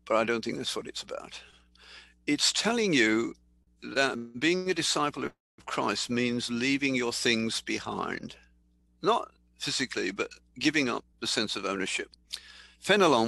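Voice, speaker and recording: An older man giving a sermon in a thoughtful, conversational way, slowing down to read scripture aloud. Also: medium pitch, gravelly, sharp tone, no room sound, poor audio. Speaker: preacher. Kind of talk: sermon teaching.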